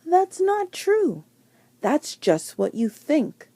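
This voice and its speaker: A woman's voice: sits high, big pitch swings, extremely slow, mellow, voice pushed up, exaggerated voice. A woman voicing an encouraging, patient male swimming teacher in a storybook, coaxing and reassuring with emphatic delivery.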